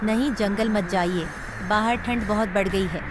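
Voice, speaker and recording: A woman's voice: medium pitch, moderate pace, over music, natural voice. Woman acting a fretful, poor village wife. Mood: concerned. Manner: plaintive, fretful. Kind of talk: Hindi animated moral story acting.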